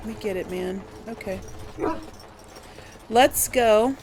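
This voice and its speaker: A woman's voice: mid-range voice, takes it slowly, a little mellow, a little hiss or hum, poor audio. A woman hosting a gaming video, giving casual playthrough commentary and musing aloud hesitantly.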